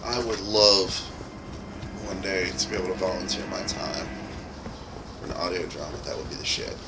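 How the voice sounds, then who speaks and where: A man's voice: deep voice, moderate pace, noisy background. A man talking casually as a game streamer while he plays. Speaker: game streamer and video creator. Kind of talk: casual livestream chat while playing.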